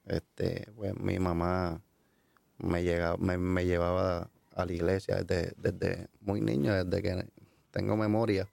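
A man with a Puerto Rican accent speaks in a very low, gravelly voice. He talks in a flat, hesitant way, full of fillers and restarts. The sound is dry and close. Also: no echo. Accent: Puerto Rican Spanish. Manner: halting, many fillers and restarts. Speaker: guest giving a testimony. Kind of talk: hesitant conversational storytelling.

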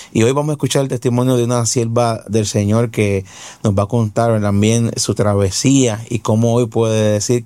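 A man's voice: lowish voice, mellow, dry recording. Devout man hosting a testimony show. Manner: devout, effusive interviewer. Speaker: host.

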